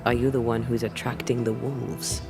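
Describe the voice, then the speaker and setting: A woman's voice: rumbling low, level tone, normal speed, music in the background. An actress playing a solemn, principled ghost hunter woman in a dramatic video game. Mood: composed, direct.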